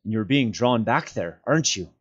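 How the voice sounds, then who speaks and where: A man's voice: on the low side, animated, slightly rough, dull audio, pitched above the performer's own voice. A man voicing an earnest hobbit master in a storybook reading, stern yet merciful, speaking firmly and solemnly. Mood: insistent. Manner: firm, solemn, measured.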